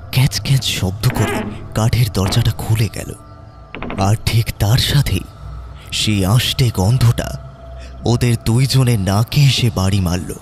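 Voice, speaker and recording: A man narrating a scripted horror story in a slow, measured way. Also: fairly low pitch, a little mellow. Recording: narration over background music.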